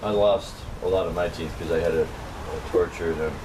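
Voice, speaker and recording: A man's voice: sits low, moderate pace. A man from upstate New York telling a long, rambling personal story in casual chat. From Norwich, New York. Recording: distant mic with steady background noise.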